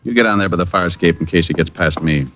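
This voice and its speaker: An actor playing a wry, unflappable private detective in 1940s radio drama style. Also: male voice, low voice, fast talker, a little hiss or hum, close to an everyday voice. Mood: wry, cool. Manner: wry, flippant, hard-boiled. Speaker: lead actor and narrator. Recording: dull old radio recording with music.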